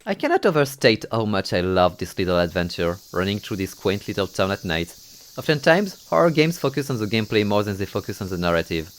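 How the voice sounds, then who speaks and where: A man's voice: on the low side, big pitch swings, fairly quick, a little hiss or hum. A man narrating a horror game playthrough from a script, moving between dramatic storytelling and wry, exasperated asides. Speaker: video game reviewer and narrator.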